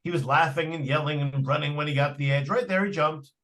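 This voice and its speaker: A man's voice: on the high side, fast talker, a bit bright, lightly acted. An actor playing a fussy, matter-of-fact male real estate agent in an old-time radio drama, earnest with hesitant starts. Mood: earnest, dismissive. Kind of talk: 1940s live radio drama acting.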